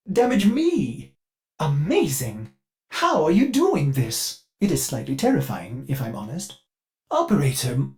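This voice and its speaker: A man's voice: a little high, a little mellow. A man voicing an anxious, devoted ship AI servant for a video game, formal and theatrical, with a brooding streak beneath the politeness. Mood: nervous, pleading. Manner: formal, theatrical, measured, fretful. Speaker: ship's AI assistant. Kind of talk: scripted video game dialogue.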